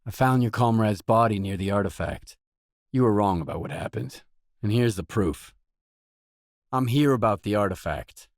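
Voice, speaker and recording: A man's voice: fairly low pitch, expressive, moderate pace, no room sound, studio quality. A man playing a matter-of-fact mercenary stalker, the hero of a video game, speaking in terse, businesslike dialogue lines. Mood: matter-of-fact, guarded.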